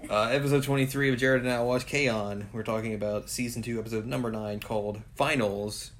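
A man's voice: mid-range voice, fairly even intonation, fairly quick. A man co-hosting a podcast, casually recapping an anime episode and laughing often. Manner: laid-back, laughs often, slips into quoted voices. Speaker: podcast co-host.

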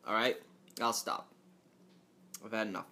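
A man talking alone into a podcast mic, his tone bright and forward despite a low, fairly monotone delivery. He rambles through restarts and filler words, dropping joking asides to the listeners. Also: measured pace, gravelly, a little hiss or hum. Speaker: podcast host. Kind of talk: casual solo podcast monologue.

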